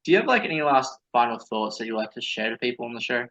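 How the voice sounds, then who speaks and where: Fast bright-voiced male host, lo-fi. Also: medium pitch, lively intonation. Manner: enthusiastic, effusive praise. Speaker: show host. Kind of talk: casual interview wrap-up.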